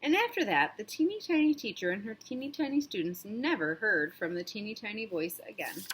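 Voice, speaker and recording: A woman's voice: medium pitch, lively intonation, a little fast, slight background noise. A woman reading a storybook aloud to young children, narrating expressively in an interactive, explanatory way.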